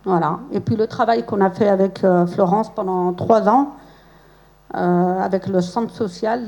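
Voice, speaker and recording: A woman's voice: medium pitch, creaky, warm tone. A woman speaking as a parent at a school event, hesitant and sincere, with many fillers.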